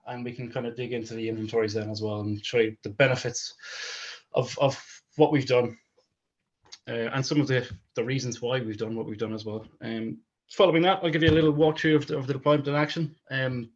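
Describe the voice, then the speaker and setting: A male technical presenter talks informally over a dull, lo-fi video call. His pitch sits in the middle of the male range. He tends to stumble and repeat the starts of phrases. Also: steady tone, brisk. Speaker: technical presenter, DevOps consultant.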